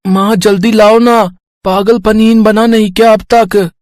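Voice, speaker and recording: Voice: mid-range voice, flat delivery, a little slow, a little mellow, no room sound, clean studio sound, close to an everyday voice. A performer voicing an eager four-year-old village boy in a cartoon story, in a childlike, pleading way. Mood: impatient.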